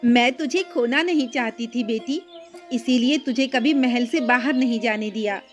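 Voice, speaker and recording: A woman's voice: fairly high pitch, moderate pace, faint music behind, lightly acted. A woman voicing a loving, anxious queen mother in a cartoon story, tender and pleading. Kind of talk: Hindi cartoon story acting.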